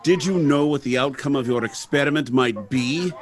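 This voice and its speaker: A man's voice: medium pitch, moderate pace, crowd noise, natural voice. A man playing an urgent, commanding man in charge of a factory, declaiming in 1930s radio drama style. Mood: stern.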